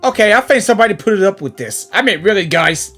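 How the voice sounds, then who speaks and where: A woman reacting casually to a game, excitable and exclamatory. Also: mid-range voice, quick pace, soft background music. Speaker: reaction streamer.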